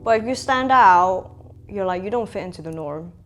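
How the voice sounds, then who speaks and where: A woman in her twenties from Hong Kong, talking in a sit-down interview as a makeup artist, pausing often with "um" and laughing between thoughts. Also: mid-range voice, expressive, fairly bright tone. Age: 20s. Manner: reflective, frequent "um" fillers, laughs between thoughts. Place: bright room indoors, seated in armchair. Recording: music playing clearly behind.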